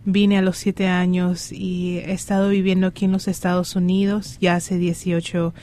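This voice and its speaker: A young woman in her twenties from Ecuador, a guest activist, speaking proudly and deliberately. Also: on the low side, level tone, mellow.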